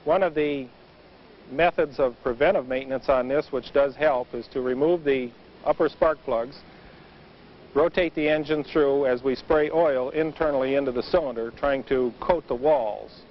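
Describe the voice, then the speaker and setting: A man's voice: mid-range voice, moderate pace. A man explaining things in a matter-of-fact, instructive way as a maintenance expert in a training film. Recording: muffled noisy vintage training film soundtrack.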